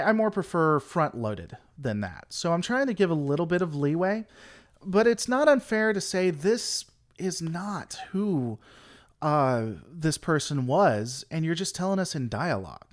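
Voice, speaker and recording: A man hosting a casual livestream, rambling through an opinionated critique and answering chat. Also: a little high. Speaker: livestream host.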